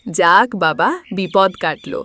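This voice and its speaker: A woman's voice: fairly low pitch, measured pace, pushed and bright. A woman voicing a shrewd, bossy farmer's wife in a cartoon moral story, emphatic and scolding. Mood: urgent.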